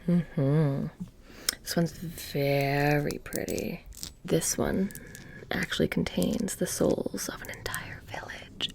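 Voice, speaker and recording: Woman, low-pitched, whispery ASMR; casual deadpan witch shopkeeper, quietly menacing. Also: slow, gravelly. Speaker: ASMR roleplay performer. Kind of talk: soft-spoken ASMR roleplay, close and whispery.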